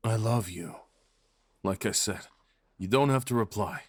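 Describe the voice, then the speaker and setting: Guy doing a devoted fox spirit husband asmr, soft tender rambling, really slow, dry close recording. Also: lowish voice, no room sound. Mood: soothing, loving. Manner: soft, tender rambling with breathy murmured endearments. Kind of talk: intimate ASMR roleplay.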